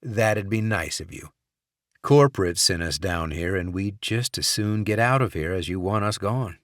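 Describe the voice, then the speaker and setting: A man voicing a calm, smooth-talking undercover inspector in an audiobook. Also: low voice, normal speed, no echo, clean studio sound.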